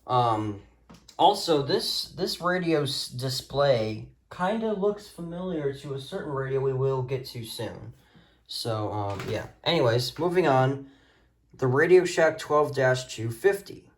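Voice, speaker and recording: A man's voice: medium pitch, lively intonation, takes it slowly. A man casually showing gadgets hands-on, talking in a hesitant, rambling way into a phone camera. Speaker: video host showing a radio collection. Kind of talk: casual hands-on show-and-tell. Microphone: phone camera mic, handheld.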